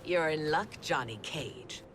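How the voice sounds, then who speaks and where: A woman's voice: deep voice, expressive, slow, background noise. A woman playing a regal, sadistic empress in a video game, haughty, silky and condescending. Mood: mocking pity. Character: regal, sadistic villainous empress, mocking and seductive. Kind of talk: dramatic video game cutscene acting.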